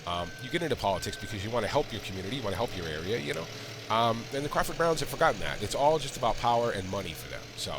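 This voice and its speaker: A man's voice: medium pitch, very animated, racing. Congested man rambling over engine noise. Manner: rambling, chatty asides while playing. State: congested, sick. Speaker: gaming channel host. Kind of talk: casual let's-play commentary. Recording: loud game engine noise underneath.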